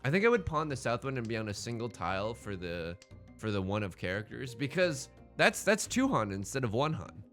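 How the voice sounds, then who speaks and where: A man's voice: mid-range voice, big pitch swings, a little fast, fairly bright tone, soft background music. A man hosting a gaming let's play with casual, joking commentary, thinking aloud in a rambling, playfully dramatic and self-deprecating way. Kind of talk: casual running game commentary with joking banter.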